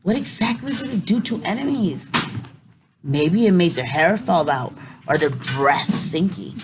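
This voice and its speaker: A woman teacher reading a children's picture book aloud, expressive, with playful asides to young listeners. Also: lowish voice, a little slow. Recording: noisy recording with clicks.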